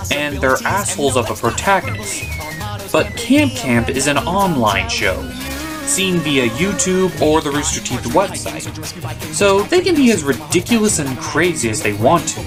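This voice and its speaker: A man giving a scripted, opinionated comedic review as a cartoon critic and host. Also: a little high. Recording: music behind the voice.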